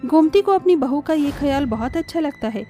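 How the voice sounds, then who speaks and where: A woman reading scripted narration for an animated story as the storyteller. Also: sits high, a little fast, faint music behind.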